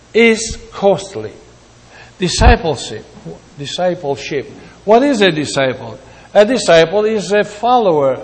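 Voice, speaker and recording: A man from Greece preaching a sermon in a deliberate way, repeating words for emphasis. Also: high-pitched. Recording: long hall reverb.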